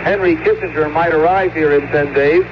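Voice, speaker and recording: A man's voice: a little high, steady tone, a little fast. A man giving a scripted report as a foreign correspondent over a muffled, noisy radio feed.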